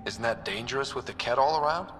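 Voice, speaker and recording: An actor playing a young male explorer who asks calm, curious questions. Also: mid-range voice, fast, a little hiss or hum, natural voice. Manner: calm, inquisitive. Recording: game audio with ambience.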